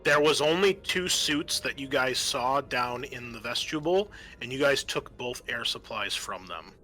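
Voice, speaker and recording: A man with a bright, forward voice works as game master. He explains casually, feeling his way through the details, with faint music underneath. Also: mid-range voice, expressive, normal speed. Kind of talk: casual tabletop game narration.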